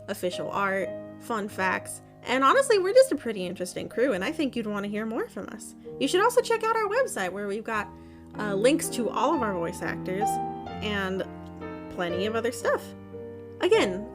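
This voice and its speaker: A woman speaking informally as a podcast host, chatty, with self-deprecating asides. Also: mid-range voice. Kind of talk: informal thank-you announcement to listeners. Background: guitar music.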